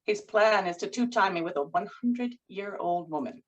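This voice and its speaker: A woman's voice: medium pitch, normal speed, highs cut off, lightly acted. A woman at a screenplay table read playing a sardonic, disapproving lover with dry, arch, pointed delivery. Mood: sardonic, irritated.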